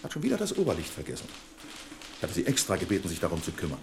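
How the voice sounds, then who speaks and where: An actor playing a pedantic, sarcastic office boss in a German radio drama, with pompous, exaggeratedly formal politeness. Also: male voice, sits low, wildly swooping pitch, very fast, creaky, noisy background, full character voice. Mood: bossy, scolding. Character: pedantic, sarcastic male office boss, nagging about punctuality.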